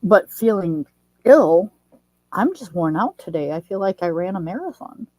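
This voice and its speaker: A woman's voice: on the low side, lively intonation, normal speed, warm tone, dry recording, low-quality recording. A woman hosting a casual online chat, sounding a little nasal from a head cold and telling things in a chatty, folksy way. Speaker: host.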